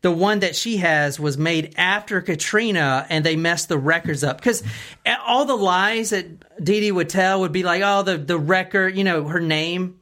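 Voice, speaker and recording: A woman's voice: lowish voice, moderate pace, a bit bright. A woman hosting a true-crime talk, telling the story conversationally and often checking that listeners follow.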